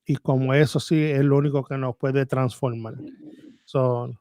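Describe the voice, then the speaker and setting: Man, podcast guest, Bible teacher, halting, rusty Spanish, mid-pitched, dry sound. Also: steady tone, mellow, no room sound. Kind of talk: podcast interview testimony.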